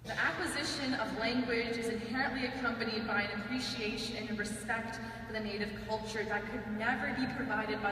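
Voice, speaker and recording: A young woman student giving a prepared speech, earnest and measured with touches of dry humor. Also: fairly high pitch. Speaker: student speaker. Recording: echoey hall, mic far off, faint hiss.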